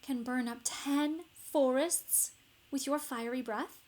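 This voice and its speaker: Woman voicing a bold, high-pitched storybook princess. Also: takes it slowly, darkish tone. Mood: challenging. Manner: emphatic, calling out. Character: bold, clever young princess, challenging and flattering. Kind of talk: children's storybook read-aloud.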